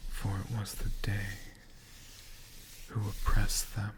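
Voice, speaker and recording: A man telling a story in a hushed ASMR whisper, in short halting phrases with long pauses between them. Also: lowish voice, monotone, background noise. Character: friendly storytelling dragon.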